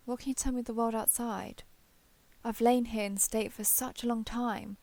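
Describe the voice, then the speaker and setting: A woman's voice: a little high, vocal fry, no room sound. A woman voicing a proud, ancient female dragon in a fantasy roleplay audio, with formal, haughty, archaic phrasing. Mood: gracious, curious.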